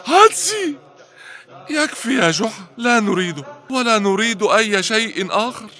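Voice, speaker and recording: A man voicing an exasperated, skeptical, excitable character in an animated cartoon, full of complaints and quick to panic. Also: high-pitched, soft background music, exaggerated voice.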